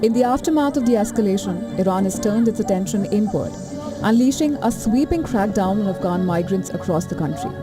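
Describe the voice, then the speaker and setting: A woman reporter narrates a news package with dramatic, emphatic phrasing. Her voice sits over a clear music bed. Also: medium pitch, expressive, brisk.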